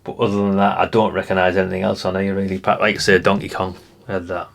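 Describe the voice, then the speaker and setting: Male, middle-aged, British accent, slightly deep voice. Informal hobbyist vlogger, chatty and unscripted, meandering delivery. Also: flat delivery, fast talker, vocal fry. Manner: rambling, chatty, addresses viewers informally. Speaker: vlogger showing vintage CB radios and catalogues. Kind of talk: casual unscripted show-and-tell chat.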